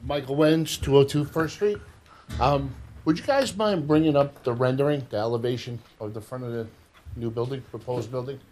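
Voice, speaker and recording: A man's voice: medium pitch, normal speed, a little mellow, a little hiss or hum, low-quality recording. A man in a mid-range voice gives a short public comment at a planning board meeting. His delivery is plain and matter-of-fact. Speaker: resident making public comment.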